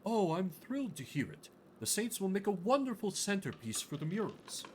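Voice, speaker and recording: Man putting on a high, lilting village priest. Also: very animated, moderate pace, noisy background. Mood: pleased, courteous. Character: kindly, enthusiastic village priest.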